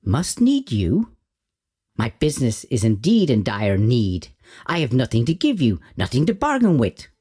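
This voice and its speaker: Guy doing a worried broke tailor from a folk tale, pleading and theatrical, jumps way up in pitch, soft mellow tone. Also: huge pitch swings, a little slow, slightly rough, full character voice. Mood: anxious, puzzled. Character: anxious, penniless village tailor, a grown man. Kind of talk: storytelling character voice in audio folk tale.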